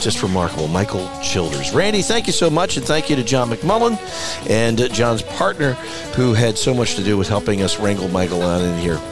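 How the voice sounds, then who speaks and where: Chatty male podcast host in a bar. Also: medium pitch. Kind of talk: casual interview. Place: small bar.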